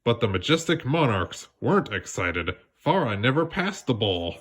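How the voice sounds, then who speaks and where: A man narrating a children's storybook aloud in an expressive way, occasionally stumbling on a word. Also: mid-range voice, normal speed, husky. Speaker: storybook reader.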